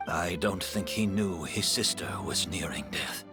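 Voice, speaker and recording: An actor playing a somber, remorseful man in a video game, speaking gravely and reflectively. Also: low voice, unhurried. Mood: somber, regretful. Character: somber, remorseful man admitting shared guilt. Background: game music.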